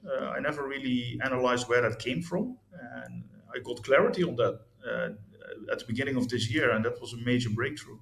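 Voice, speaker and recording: A man's voice: mid-range voice, brisk, gravelly, a bit bright, slight background noise. A man in his fifties from the Netherlands, a guest telling his story in an interview, hesitant, with many restarts and fillers. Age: 50s. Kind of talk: candid interview talk about his life. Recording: echoey room.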